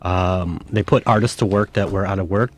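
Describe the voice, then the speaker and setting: A man's voice: low-pitched, fairly even intonation, normal speed. A man from Chicago who is a guest on a radio show, reflecting earnestly as he recalls his school years. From northwest side of Chicago, USA. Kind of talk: radio interview.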